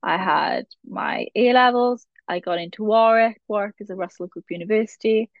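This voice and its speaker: A woman's voice: mid-range voice, normal speed, creaky, no room sound. Welsh valleys girl, 20s, rambly chatty guest, kinda muffled dry sound. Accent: South Wales Valleys. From Wales. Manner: chatty, rambling, laughs at herself. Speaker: podcast guest. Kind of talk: casual chat.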